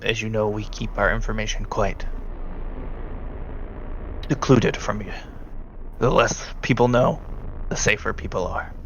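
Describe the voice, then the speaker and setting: A man narrating a tabletop roleplaying game as game master, speaking haltingly and deliberately. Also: on the low side, fairly even intonation, dull audio, a little hiss or hum. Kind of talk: narrating a tabletop roleplaying game, partly in character as a briefing handler.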